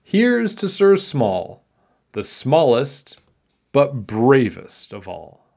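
A man's voice: mid-range voice, wildly swooping pitch, extremely slow, vocal fry, darkish tone, voice pushed up, exaggerated voice. A man voicing a grand, incredulous storybook king in a theatrical, exclaiming manner. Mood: proud.

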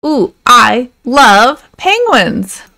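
A woman voicing silly, excitable animals with a gushing, easily confused manner in a children's storybook. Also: on the high side, very slow, vocal fry, full character voice. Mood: eager, confused.

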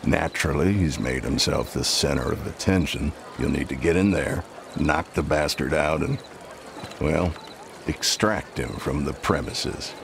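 A weary old gunslinger of a Western game, with an extremely deep voice. He talks slowly and drily with quiet menace. There is clear noise behind him. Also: exaggerated voice. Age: older. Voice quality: gravelly. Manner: slow, dry, deliberate. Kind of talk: video game acting.

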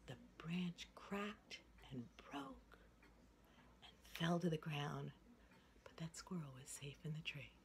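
A woman in her sixties telling a children's story in an expressive, playful, sing-song way. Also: on the low side. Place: indoors, room with wooden ceiling. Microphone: phone at arm's length. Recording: noisy home recording with room ambience.

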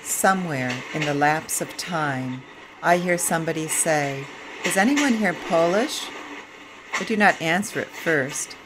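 A middle-aged woman reading a memoir excerpt aloud in a slow, reflective way. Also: low-pitched, animated, noisy background. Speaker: vlogger and author. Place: train compartment.

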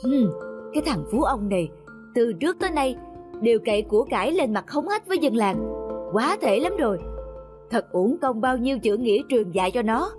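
A man playing a stern, wise elderly scholar in a cartoon folk tale, admonishing in a measured way. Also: extremely high pitch, animated, music in the background. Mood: indignant. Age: older.

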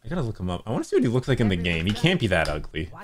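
A man streaming a card game from home, joking and animated as he plays. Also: fairly low pitch, racing. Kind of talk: casual gaming chat.

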